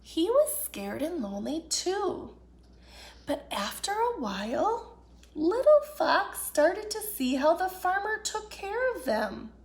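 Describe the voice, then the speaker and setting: Woman, children's storyteller, very high voice, unhurried pace, expressive picture-book read-aloud. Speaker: children's storyteller, children's ministry director.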